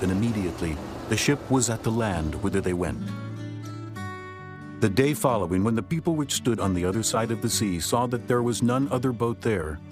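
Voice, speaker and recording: A man reads scripture as a narrator in a formal, measured storytelling manner. His voice sits fairly low, with soft music underneath.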